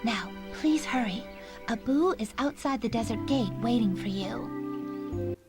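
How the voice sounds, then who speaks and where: Gentle young video game princess, earnest and polite, highish voice, loud music behind. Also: female voice, expressive, takes it slowly. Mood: earnest, pleading. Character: gentle young adult princess asking for help.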